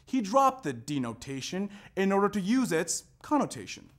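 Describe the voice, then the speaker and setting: A man performing a scripted narration in a readers theater style, with emphatic, deliberate phrasing. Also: fairly high pitch. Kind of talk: scripted narration, staged recitation.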